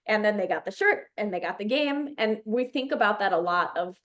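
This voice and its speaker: A woman's voice: mid-range voice, animated, a little fast, fairly bright tone, lo-fi sound. A woman in her thirties with a Chicago accent, a company founder talking as a guest in an interview, chatty and enthusiastic with many fillers and restarts. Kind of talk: casual interview chat.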